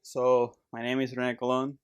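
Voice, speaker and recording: A man from Puerto Rico with a Puerto Rican accent, a chiropractor guest telling his story in a casual interview, relaxed and plain with fillers. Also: medium pitch, normal speed, clean tone, no echo. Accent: Puerto Rican Spanish.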